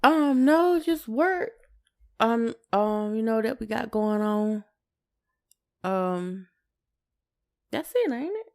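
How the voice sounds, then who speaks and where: A woman's voice: a little high, animated, slow, pauses often. An American woman co-hosting a podcast, chatting casually with informal, somewhat hesitant phrasing. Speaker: podcast co-host. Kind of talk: casual conversational chat.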